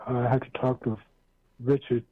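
A man's voice: mid-range voice, little pitch movement, normal speed. A man hosting a radio talk show, chatting in a rambling, easygoing way with lots of fillers and restarts. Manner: rambling, many fillers and restarts, chuckles often. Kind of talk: casual interview chat. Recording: tinny lo-fi radio sound.

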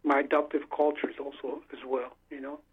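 A man's voice: medium pitch, flat delivery, a little fast, husky. A man, a musician and bandleader whose first language is Lingala, talking casually and a little hesitantly on a phone-in radio show. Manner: hesitant, friendly, restarts often. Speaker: musician, band leader. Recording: tinny phone line.

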